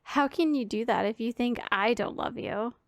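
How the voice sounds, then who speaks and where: A woman's voice: a little high, normal speed, dry recording, studio quality, close to an everyday voice. A woman playing a powerful female demon who is commanding yet secretly caring, speaking with formal, imperious authority in an ASMR roleplay. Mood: stern, caring. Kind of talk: scripted ASMR roleplay addressed to the listener.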